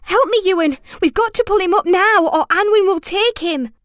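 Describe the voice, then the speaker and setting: A woman's voice: sits high, lively intonation, a little slow, fairly bright tone, no room sound, clean studio sound, pitched above the performer's own voice, full character voice. A woman voicing a frightened young girl in a storybook, pleading in a tense, urgent way. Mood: panicked.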